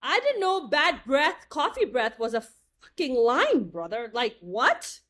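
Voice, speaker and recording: Animated American woman telling blunt stories. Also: high-pitched, unhurried. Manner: lively, blunt, swears and jokes freely. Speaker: guest. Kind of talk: casual storytelling chat.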